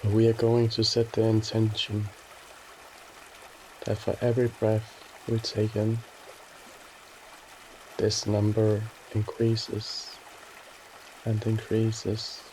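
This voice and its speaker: A man narrating a guided meditation in a soothing, gently instructing way, with short phrases and pauses between them. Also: lowish voice, completely flat delivery, slight background noise, rough recording.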